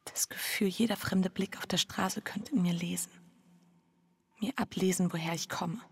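An actress playing an anxious, guilt-ridden married woman in a German radio drama, speaking a tense, breathy inner monologue. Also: lowish voice, steady tone, normal speed, a little hiss or hum. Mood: fearful. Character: anxious, guilt-ridden bourgeois adulterous wife. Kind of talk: German radio drama acting with first-person narration.

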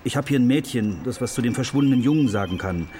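An actor playing a flustered, fussily polite police officer in a German children's radio play, speaking hesitantly and repeating himself. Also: male voice, medium pitch, expressive, quick pace, warm tone, a little hiss or hum, lightly acted. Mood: puzzled, attentive. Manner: hesitant, repetitive, fussily courteous.

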